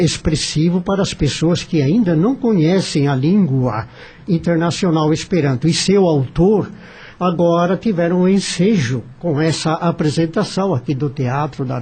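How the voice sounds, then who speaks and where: A man's voice: a little high, mellow, breathy edge. A Brazilian man giving commentary as a radio panel guest, in formal wording with some hesitation.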